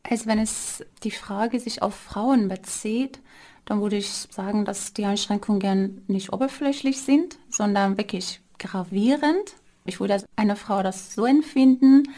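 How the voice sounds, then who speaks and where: A woman's voice: medium pitch, unhurried, dark tone. A woman from Iran with an Iranian accent, explaining things as a guest in an interview.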